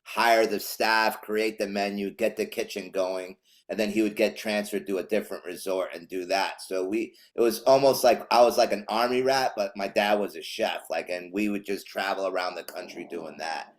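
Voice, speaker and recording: A man's voice: on the low side, steady tone, normal speed, few pauses. A man from Chile, a podcast guest, telling stories in an easygoing, rambling way. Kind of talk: casual interview chat.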